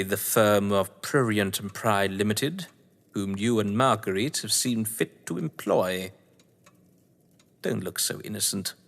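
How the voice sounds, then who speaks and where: A man's voice: medium pitch, a bit bright, big-room sound. A man playing a suave, scheming, condescending English crime novelist in a theatrical audio drama, with wry, florid delivery. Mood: sardonic. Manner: pompous, wry, florid phrasing.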